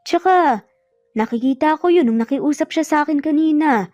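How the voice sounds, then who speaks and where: A woman's voice: sits high, normal speed, a little mellow, no room sound, pitched above the performer's own voice, lightly acted. A woman voicing a kind, forgiving older mother who soothes and coaxes, in an audio drama. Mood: sympathetic. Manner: soothing, coaxing. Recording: muffled lo-fi audio, music bed behind.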